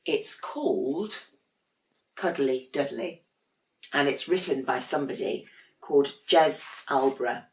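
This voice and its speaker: A woman, a teacher, reading a children's storybook expressively with dramatic pauses in an echoey room. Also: a bass voice.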